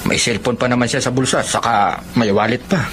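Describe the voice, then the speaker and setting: A man's voice: medium pitch, fairly even intonation, brisk, noisy background, natural voice. An actor playing a concerned, matter-of-fact village man in a Tagalog radio drama, with a Filipino accent.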